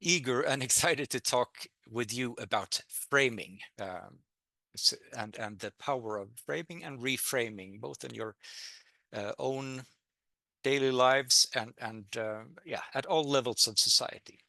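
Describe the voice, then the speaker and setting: A man's voice: medium pitch, unhurried, vocal fry, pushed and bright, no echo. A middle-aged man with a Swedish accent giving an online public talk from home, hesitant, with many fillers and restarts. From Sweden. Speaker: guest lecturer. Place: home in a town, by video link. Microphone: computer mic on a video call.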